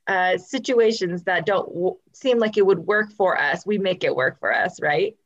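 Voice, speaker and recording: A woman's voice: on the low side, normal speed, a bit bright, dull audio. A woman hosting a personal podcast interview, affirming her guest and reflecting back what she hears, with many "like" fillers. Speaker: podcast host.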